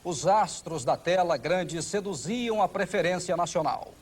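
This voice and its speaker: A man's voice: high voice, unhurried, husky. A Brazilian man reading scripted documentary narration. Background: background music.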